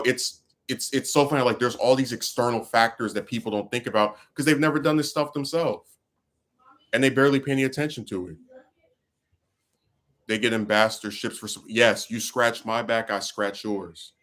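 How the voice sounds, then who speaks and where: A man's voice: medium pitch, fairly bright tone. A man hosting a casual talk stream, venting about politics in a rambling way, full of fillers and restarts. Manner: frustrated, venting. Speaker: livestream host.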